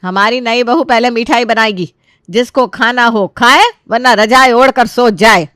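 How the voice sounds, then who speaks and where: A woman's voice: medium pitch, moderate pace, fairly bright tone, no room sound, lightly acted. A bossy middle-aged Indian mother-in-law from a cartoon story, acted by a woman. She scolds theatrically and sounds exasperated, in a dry studio recording. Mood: furious scolding.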